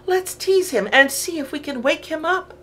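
A woman's voice: high-pitched, lively intonation, normal speed, fairly bright tone, faint music behind, voice pushed up. A woman reading a children's storybook, voicing a naughty but polite young boy rabbit who sounds childlike and eager. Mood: mischievous.

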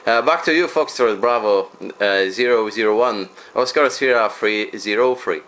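A man's voice: lowish voice, normal speed, a bit bright, low-quality recording. A man making casual amateur radio contact, politely and in hesitant English, using phonetic call signs. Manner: friendly, polite, hesitant non-native English. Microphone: radio desk microphone.

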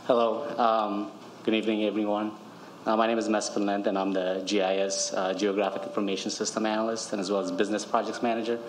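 A man's voice: on the low side, little pitch movement, quick pace, a little hiss or hum, poor audio. A young man from Ethiopia introducing himself at a council podium, polite and hesitant with many fillers. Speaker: city GIS analyst and projects manager. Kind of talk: self-introduction at council meeting. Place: large council chamber at podium. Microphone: podium microphone.

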